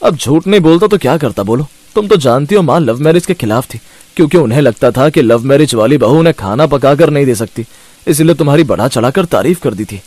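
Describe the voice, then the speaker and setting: A man's voice: mid-range voice, lively intonation, a little fast, a little mellow, dry recording, natural voice. A man voicing a meek young husband who pleads and explains himself in a cartoon story. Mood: pleading.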